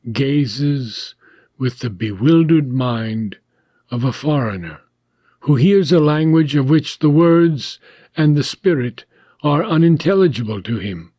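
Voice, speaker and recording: Slow, deliberate man reading philosophy aloud. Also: medium pitch, mellow, no room sound. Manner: measured, deliberate, with short phrases and frequent pauses. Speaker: audiobook reader.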